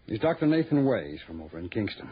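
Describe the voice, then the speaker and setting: An American actor playing an indignant, defensive rest-home proprietor in a mid-century radio drama, husky, blustering and halting under pressure. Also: male voice, lowish voice, sing-song, full character voice. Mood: defensive, grudging. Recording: dull old radio recording.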